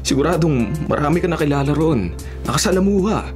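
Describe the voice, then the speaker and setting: An actor plays a young man with a Filipino accent, a serious bodyguard who is shy in love. His delivery is earnest and hesitant, with lively swings in pitch. It is radio drama, with music clearly behind him. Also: fairly quick, close to an everyday voice. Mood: flustered, tender.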